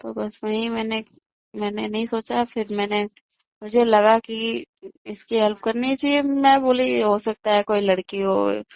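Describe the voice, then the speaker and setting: High-voiced woman on a dull phone recording. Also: fairly even intonation, unhurried, vocal fry, darkish tone, no echo. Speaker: eyewitness interviewee on a phone call. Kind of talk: casual recounting of events.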